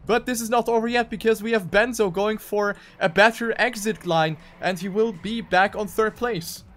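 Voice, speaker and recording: A man's voice: sits high, steady tone, normal speed, a bit bright. A man giving live commentary on a video game race.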